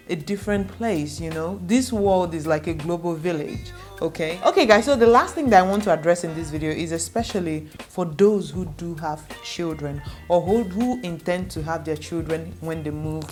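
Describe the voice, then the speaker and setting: A woman's voice: lowish voice, moderate pace, a little mellow, soft background music. Earnest Nigerian woman giving vlog advice. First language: Igbo. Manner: earnest, reassuring, repeats points for emphasis. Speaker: vlogger. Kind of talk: direct-to-camera advice vlog.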